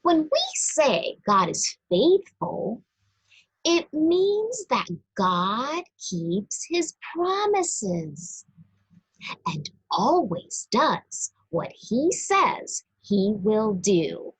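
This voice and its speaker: A woman reading a religious children's book aloud, teaching deliberately and expressively and speaking directly to young listeners. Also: on the high side, big pitch swings, husky, rough recording. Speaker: storybook reader for a church.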